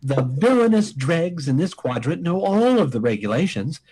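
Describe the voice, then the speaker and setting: A man playing a pompous, commanding space admiral in a comic radio play, speechifying emphatically in a husky voice. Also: on the high side, sing-song, normal speed, a little mellow. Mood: approving, commanding. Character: blustering, commanding space admiral, male senior officer.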